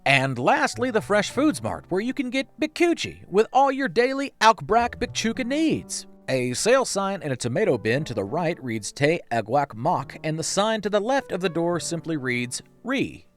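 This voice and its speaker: A man's voice: sits high, sing-song, moderate pace, few pauses, fairly bright tone, faint music behind. A man delivering comedic scripted narration for an online video, with wry, playful and self-deprecating asides. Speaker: YouTube video essay narrator.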